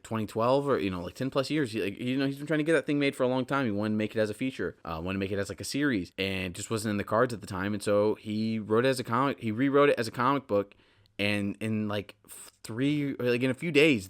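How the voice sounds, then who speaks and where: A man's voice: fairly low pitch, fairly bright tone. A young American man hosting a podcast solo, talking casually in a rambling way and acting out examples with animation. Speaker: podcast host.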